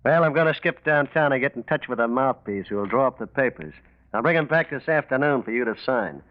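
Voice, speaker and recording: A man's voice: medium pitch, animated, brisk, lightly acted. An actor playing a sly, cocky male racketeer with glib, slangy, street-tough talk in a 1940s radio mystery. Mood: breezy, confident. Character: slick small-time crook, a sly, cocky male racketeer. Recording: muffled lo-fi 1940s radio recording.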